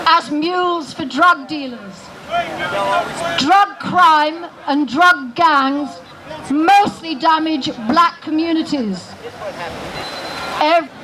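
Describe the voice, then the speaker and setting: A woman giving a political campaign speech outdoors, in a deliberate, emphatic oratorical manner with pauses. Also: high-pitched, low-quality recording. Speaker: campaign speaker. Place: outdoors in a city square. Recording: outdoor rally PA with loud city traffic noise. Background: loud street ambience and vehicles.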